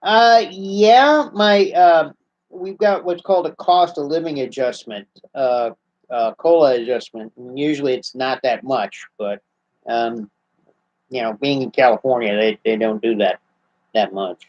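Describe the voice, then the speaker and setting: Fifty-something american guy rambling on a webcam stream at home, chill and halting, kinda muffled sound. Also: mid-range voice. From United States. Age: 50s. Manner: relaxed, halting, many restarts and fillers. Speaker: livestream host answering viewer questions. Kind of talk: casual live Q&A chat. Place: small room at home, seated in armchair indoors. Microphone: webcam at close range. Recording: slightly dull lo-fi webcam audio.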